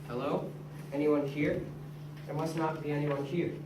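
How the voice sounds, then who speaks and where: A woman's voice: low-pitched, flat delivery, unhurried. Female as pleading elderly father; amateur stage read, roomy sound with background noise. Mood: uncertain. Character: flustered, pleading old inventor father. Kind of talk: amateur readers theater in drama class. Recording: noisy echoey room, distant mic.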